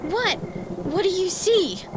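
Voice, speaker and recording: A woman's voice: extremely high pitch, sing-song, slow pace, very noisy, full character voice. An actress playing a bold, curious young girl in a children's horror audio drama, with an eager, exclamatory delivery. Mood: curious, daring.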